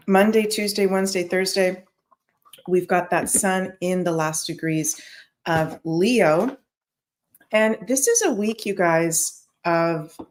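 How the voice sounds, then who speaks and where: A woman with a slightly low, voice hosts a casual daily horoscope show, talking straight to her viewers. Her voice is a little scratchy and she keeps calling them "you guys.". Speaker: astrology show host.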